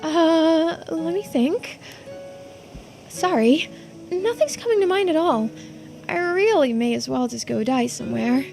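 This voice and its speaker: A woman's voice: high-pitched, lively intonation, measured pace, gravelly, long pauses, darkish tone, pitched above the performer's own voice, exaggerated voice. A woman voicing an earnest, self-deprecating bookish youngster in a video game, apologetic and eager to help. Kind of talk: video game character voice-over. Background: music.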